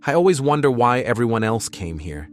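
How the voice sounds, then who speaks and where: A man voicing a friendly, curious and hopeful rebel in an amateur animated series. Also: medium pitch, brisk, soft background music, close to an everyday voice.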